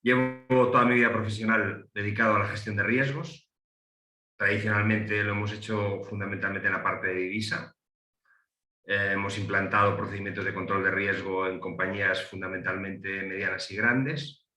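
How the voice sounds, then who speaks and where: A middle-aged Galician man gives his view on a panel over a video call, his voice bright and forceful but sitting fairly low. He hesitates, restarts and fills pauses often. The room around him rings with a noticeable echo. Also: level tone. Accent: Galician Spanish. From Galicia, Spain. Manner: hesitant, many fillers and restarts. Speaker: panel speaker, economist. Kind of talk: panel talk. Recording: roomy echo.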